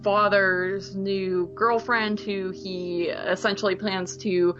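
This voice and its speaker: A woman's voice: mid-range voice, flat delivery, slow, dull audio, over music. A woman co-hosting a movie podcast, chatting casually about films in an informal, rambling way. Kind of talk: casual film discussion.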